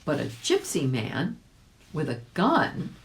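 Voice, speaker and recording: A woman reading a children's story aloud in an expressive, animated way, addressing young listeners directly. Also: low-pitched, slow pace. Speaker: volunteer audiobook reader.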